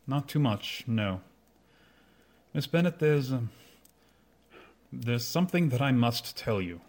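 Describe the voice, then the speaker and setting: A proud gentleman suitor in a period radio play acted by a man. His voice is mid-pitched, and he speaks with formal, measured earnestness. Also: expressive. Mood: hesitant, earnest. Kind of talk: radio drama acting.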